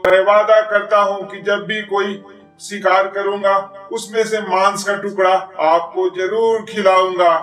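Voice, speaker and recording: A man voicing a proud, selfish tiger in an animated children's fable. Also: sits high, a little slow, faint music behind. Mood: pleading. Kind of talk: children's cartoon voice acting. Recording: distant, echoey voice recording.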